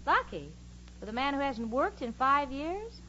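A woman's voice: sits high, big pitch swings, a little slow, pushed and bright, heavily acted. An American woman playing a sensible, sardonic single working woman in a 1940s radio comedy. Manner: sardonic, crisply theatrical delivery. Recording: muffled old radio broadcast, noisy studio audience.

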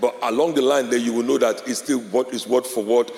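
A man's voice: medium pitch, fairly even intonation, a little fast, gravelly, darkish tone. Man, Yoruba speaker, pastor teaching a class, repeats key points, checks in often, long hall reverb. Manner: explains step by step, checks in with "all right?" and "okay?"; repeats key phrases for emphasis. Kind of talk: classroom-style teaching talk.

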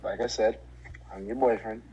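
A man's voice: fairly low pitch, fairly even intonation, moderate pace, mellow, low-quality recording. A man from Georgia answering casual interview questions in a laid-back, joking way. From Decatur, Georgia. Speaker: interviewee, boyfriend.

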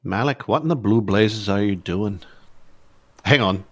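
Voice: deep voice, measured pace, very noisy, pitched below the performer's own voice. A game master voicing a female fantasy character with an Irish accent, questioning sternly. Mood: demanding.

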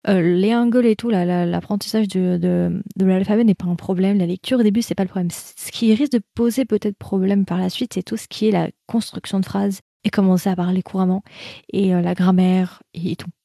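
A woman's voice: medium pitch, fairly even intonation, brisk, vocal fry, warm tone, no echo, clean studio sound. A young woman hosting a casual live chat, talking to viewers in a slangy, rambling way with many fillers. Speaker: host of a live chat about Korean dramas.

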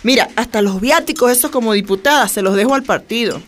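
A woman's voice: fairly high pitch, fast, a little hiss or hum, lightly acted. A woman playing a middle-aged Venezuelan activist and former teacher in a radio drama, speaking colloquially, earnestly and with emphasis. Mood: friendly, frank. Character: passionate middle-aged Venezuelan feminist leader and retired teacher.

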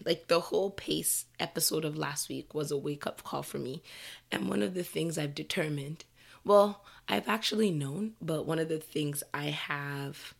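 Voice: mid-range voice, gravelly. A podcast host with a froggy voice from a slight cold, giving a candid, earnest motivational monologue to listeners.

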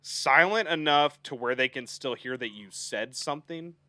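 Bright young man, dry close sound. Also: mid-range voice, normal speed, no echo. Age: 20s. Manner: playful, laughs through his talk. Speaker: podcast co-host. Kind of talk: casual chat.

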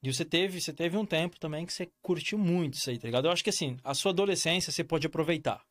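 A man from Brazil with a Brazilian Portuguese accent who hosts a casual talk, asking quick, slang-filled questions. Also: on the high side, a bit bright, no echo.

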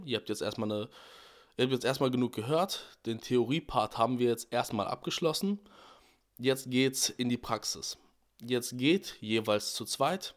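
A young man talks through a Bible passage for his podcast, his voice slightly worn by a cold. He sits at a middle pitch and explains things in a loose, conversational way, pausing and rephrasing as he goes. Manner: relaxed, explanatory, with fillers and restarts. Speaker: podcast host. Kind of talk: devotional podcast talk on a Bible verse.

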